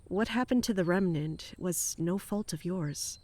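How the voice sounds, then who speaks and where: A woman's voice: lowish voice, unhurried, dark tone, no room sound. An actress playing a dutiful, exasperated female palace guard captain in an audio drama, speaking in a wry, formal way. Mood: guarded, subdued. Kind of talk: audio drama monologue and dialogue.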